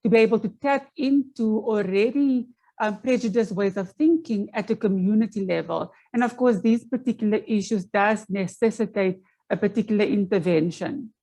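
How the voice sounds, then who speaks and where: South African woman, Afrikaans first language, presenting on a video call from home, earnest and measured, restarts often. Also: medium pitch, mellow. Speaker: panel presenter. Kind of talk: online conference presentation.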